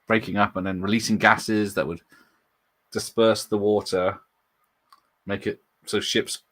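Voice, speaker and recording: A man's voice: low-pitched, a bit bright, slightly rough. A man hosting a casual live watch party, rambling and chatting about films, laughing often and answering his viewers. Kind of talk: casual live movie commentary.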